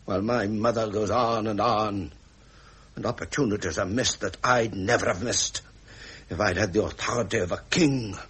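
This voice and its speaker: An actor playing a frustrated, proud Prince of Wales near fifty, formal and imperious, with an upper-class English accent in a period drama. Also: male voice, on the low side, steady tone, moderate pace, fairly bright tone, husky. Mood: weary, frustrated. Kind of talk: period British broadcast drama acting.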